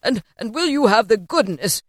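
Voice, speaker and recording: A woman's voice: on the high side, animated, moderate pace, no echo, studio quality. A woman voicing a jubilant, reformed old man in a storybook, effusive, exclamatory and polite. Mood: eager, apologetic. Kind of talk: dramatised storybook character voice.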